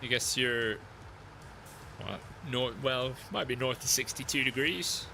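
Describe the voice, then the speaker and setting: A man chatting casually with his live-stream chat while playing a driving game, relaxed and talkative. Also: mid-range voice, a little slow, gravelly, background noise.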